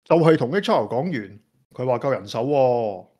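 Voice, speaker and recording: A man's voice: medium pitch, very animated, moderate pace, warm tone. A man acting an earnest office employee in a radio drama, with a Hong Kong Cantonese accent. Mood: earnest, persuading. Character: earnest office employee, Stephen, pleading for more staff. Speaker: employee pressing his boss.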